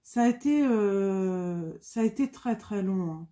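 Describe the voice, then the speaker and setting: A woman's voice: mid-range voice, expressive, measured pace, dark tone. A woman with a cold, giving a casual spoken tarot reading with many fillers and repetitions. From France. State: has a cold. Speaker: tarot card reader.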